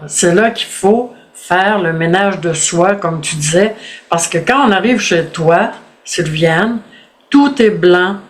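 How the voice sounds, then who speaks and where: A woman who hosts the interview, asking hesitant questions with many fillers. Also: mid-range voice. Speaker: host. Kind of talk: casual interview talk.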